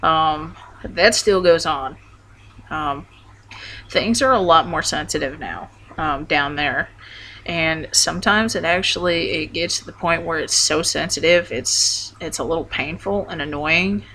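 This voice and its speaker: A young man chatting casually to camera, taking his time and halting often with ums and false starts. His voice has a bright, sharp edge and wavers between deeper and higher notes. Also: slight background noise. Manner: hesitant, rambling, full of ums and restarts. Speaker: vlogger. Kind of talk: casual video update.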